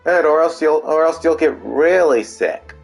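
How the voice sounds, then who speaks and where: A woman playing a game and commenting casually on the dialogue in a halting, repetitive way. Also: deep voice, lively intonation, creaky, music in the background.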